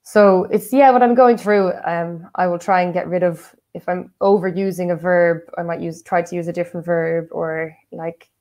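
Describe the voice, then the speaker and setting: Low-voiced Irish woman, thoughtful. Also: fairly even intonation, moderate pace, few pauses, a little mellow. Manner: thoughtful, with many "um" and "like" fillers. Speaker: guest, writer and translator. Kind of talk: casual conversation.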